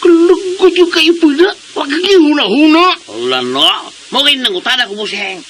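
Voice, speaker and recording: An excitable, boastful comic fellow who whines and yelps. The voice is high and piercingly bright, with faint hiss behind it in a radio drama. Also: male voice, moderate pace. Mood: whiny, excited.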